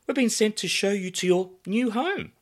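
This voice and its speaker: Man putting on a high-pitched whimsical bombshell hostess. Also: animated, moderate pace. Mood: welcoming. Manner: airy, theatrical. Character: whimsical blonde bombshell hostess, breezily welcoming.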